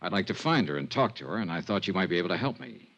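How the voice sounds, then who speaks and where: A courteous, dry-witted private investigator from a 1950s radio serial. His lowish voice moves up and down a lot. The sound is muffled old broadcast audio. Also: animated, racing, gravelly, a bit bright, heavily acted. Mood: businesslike. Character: polite, wry hard-boiled insurance investigator and narrator. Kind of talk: 1950s radio detective acting and narration.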